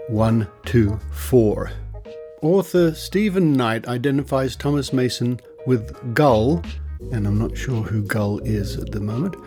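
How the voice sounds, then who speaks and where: A man with an Australian accent hosting a podcast, narrating in a deliberate, emphatic storytelling way with asides. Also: mid-range voice, warm tone. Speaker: podcast host. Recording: music behind the voice. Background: music.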